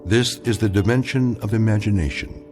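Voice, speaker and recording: An actor playing a grave, knowing narrator of eerie tales in a radio drama, measured, wry and ominous. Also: male voice, fairly low pitch, over music, natural voice.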